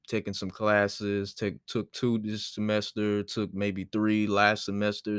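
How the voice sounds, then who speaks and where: A twenty-year-old man hosting his solo podcast, rambling in a relaxed way with lots of fillers. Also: lowish voice, smooth, no room sound, studio quality. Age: 20s. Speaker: podcast host.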